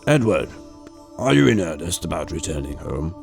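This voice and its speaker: A man playing a kindly, patient old farmer in an amateur radio drama, speaking in a gentle, thoughtful, measured way. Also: sits low, big pitch swings, vocal fry, heavily acted. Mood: gently helpful. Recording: music under the voice.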